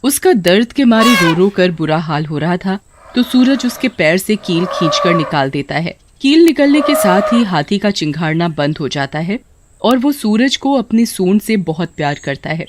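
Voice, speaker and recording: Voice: mid-range voice, very animated, moderate pace, background noise. A narrator reading a scripted children's story in a dramatic storytelling manner.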